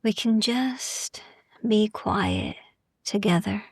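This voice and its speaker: Very slow, hushed breathy woman, near whisper. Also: medium pitch, wildly swooping pitch, no echo, studio quality. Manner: slow, gentle, soothing, with long pauses. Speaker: sleep meditation podcast host. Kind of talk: soft ASMR-style guided sleep meditation.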